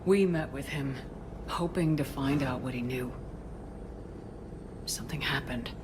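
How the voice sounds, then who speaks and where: A woman's voice: a bass voice, unhurried, background noise. An actress playing a steely but compassionate female space commander in a video game, with a terse, authoritative manner. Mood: grave, gentle. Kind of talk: cinematic video game dialogue.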